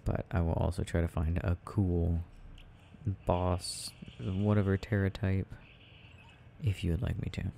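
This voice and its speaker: A young man playing an affectionate, gently teasing boyfriend in an ASMR audio roleplay, murmuring and rambling as he games. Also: low-pitched, fairly even intonation, unhurried, creaky, slight background noise. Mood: absorbed, rambling.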